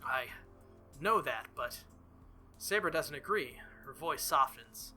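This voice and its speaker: Man, let's-play host, higher-pitched bright voice, theatrical narration, casual asides, soft music behind. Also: expressive, slow pace. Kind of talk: reading a visual novel aloud with casual asides. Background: faint game music.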